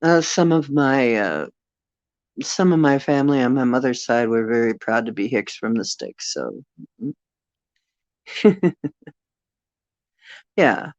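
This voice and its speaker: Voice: mid-range voice, expressive, takes it slowly, long pauses, darkish tone, no echo, dull audio. An older host with a Northeastern Ohio accent, chatting casually and expressively, laughing often and trailing off with fillers. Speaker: channel host. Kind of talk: casual livestream chat.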